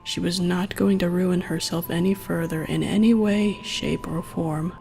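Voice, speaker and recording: A woman narrates a scripted story in a fairly low, mellow voice. Faint music plays behind her reading. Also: fairly even intonation, measured pace, gravelly. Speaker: narrator and reader.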